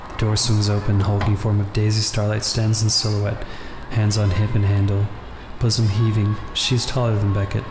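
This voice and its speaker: A man reading noir visual novel text aloud in a measured, deliberate storytelling way. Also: on the low side, little pitch movement, background noise. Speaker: gaming video host. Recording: roomy echo under loud music. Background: loud game music with guitar.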